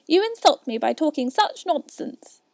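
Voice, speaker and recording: A woman voicing a touchy, easily offended storybook mouse, speaking in a sharp, scolding manner. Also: sits high, very animated, normal speed, no echo, voice pushed up. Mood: angry, offended. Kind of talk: storybook character reading.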